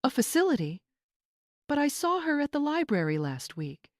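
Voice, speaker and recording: A woman's voice: sits high, big pitch swings, normal speed, dry recording, clean studio sound, pitched above the performer's own voice. A woman voicing a concerned, puzzled cousin at a party in an audiobook, questioning in manner. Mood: shocked. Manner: questioning, surprised.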